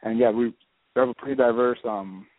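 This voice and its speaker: Phone-in political guest, man, brisk earnest explainer, narrow dry line. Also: mid-range voice, fairly even intonation, dry recording. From Miami, born in Puerto Rico. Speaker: guest, local party vice chairman and political campaign surrogate. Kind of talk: political radio interview.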